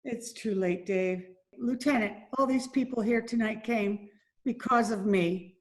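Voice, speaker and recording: A woman playing a resigned but proud down-and-out mother in an old-time radio drama, speaking earnestly in short, halting phrases. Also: fairly high pitch, natural voice.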